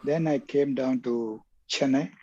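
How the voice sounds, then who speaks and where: An older man speaks haltingly as an interview guest, filling his pauses with uhs and closing his sentences with "yes." His voice is husky and mellow. He comes through a dull, lo-fi line. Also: mid-range voice. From southern India. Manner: halting, many uhs, adds yes at ends. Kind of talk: conversational interview.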